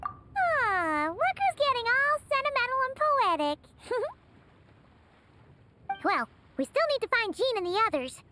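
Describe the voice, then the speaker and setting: A woman voicing a small, excitable, chatty fairy companion in a video game, with a squeaky childlike voice and an exclamatory manner. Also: measured pace, pauses often, noisy background, full character voice. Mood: nostalgic, teasing. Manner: exclamatory, speaks of herself in third person. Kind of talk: animated video game voice acting.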